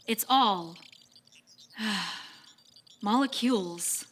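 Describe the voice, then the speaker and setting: A woman playing a weary, put-upon machine servant with a deadpan, grudging delivery in a live sci-fi audio comedy. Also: medium pitch, very slow. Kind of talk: live staged science-fiction audio comedy. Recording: faint noise, live stage recording.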